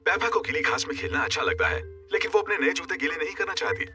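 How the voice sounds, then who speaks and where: A man narrating a preschool cartoon as a cheerful storyteller, in animated scripted narration for small children. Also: high voice, quick pace, breathy edge, far from the mic, faint music behind, full character voice. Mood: bright storytelling.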